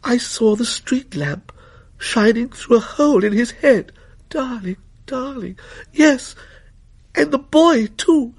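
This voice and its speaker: Man doing frightened young girl, very high. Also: animated, takes it slowly, vocal fry, breathy edge, heavily acted. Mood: frightened, insistent. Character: frightened young girl, insistent, eerie certainty. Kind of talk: dramatic ghost story reading.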